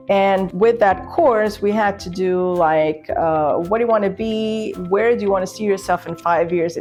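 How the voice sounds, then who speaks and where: A woman's voice: mid-range voice, darkish tone. Portuguese woman in her forties, music behind. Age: 40s. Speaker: business owner telling their career story. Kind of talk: candid personal storytelling to camera.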